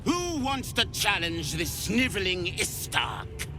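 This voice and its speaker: An actor playing a harsh, contemptuous githyanki drill instructor in a video game, speaking imperiously over loud music. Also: male voice, high-pitched, big pitch swings, full character voice. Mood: commanding, angry. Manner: imperious, scornful, deliberate.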